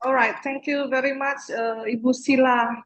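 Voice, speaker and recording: A woman's voice: sits high, steady tone, normal speed, fairly bright tone, poor audio. A woman moderates a seminar session, formally reading out a speaker's introduction. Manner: formal, reads a prepared introduction.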